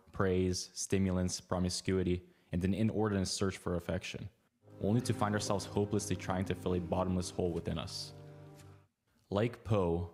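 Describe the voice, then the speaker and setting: A man reading a scripted documentary narration in a calm, measured way. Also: sits low.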